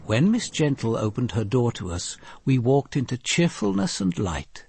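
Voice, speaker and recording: An actor playing a composed, probing police commander in a radio drama, speaking in a measured, precise way. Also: male voice, mid-range voice, big pitch swings, vocal fry, a little mellow, a little hiss or hum. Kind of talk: radio drama dialogue and first-person narration.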